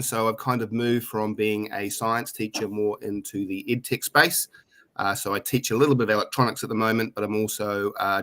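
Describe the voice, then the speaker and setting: Lower-voiced New Zealand man, conversational. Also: pushed and bright. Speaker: panel guest, educator. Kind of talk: casual self-introduction.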